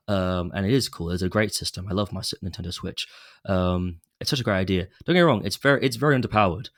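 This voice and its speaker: A man's voice: deep voice, no room sound, studio quality. A young man recovering from a cold, chatting casually and rambling with lots of fillers as a podcast host. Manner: rambling, many fillers and restarts. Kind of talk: casual solo chat.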